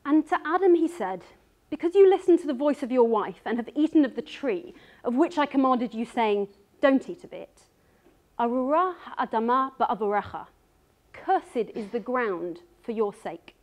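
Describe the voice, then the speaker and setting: A woman in her thirties speaks on a darkened stage in a voice set slightly high. Her delivery is earnest and measured as she works through prepared notes, picked up by a stage microphone. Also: animated, a little mellow. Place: dark stage before an audience.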